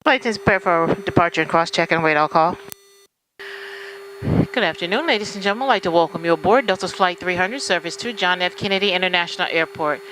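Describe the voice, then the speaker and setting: A woman delivers a formal, scripted flight attendant announcement over the cabin PA. She goes through it at a brisk pace, with a faint humming cabin noise around her. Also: lowish voice, fairly bright tone, low-quality recording. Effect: aircraft PA system. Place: inside an airplane cabin, over the PA.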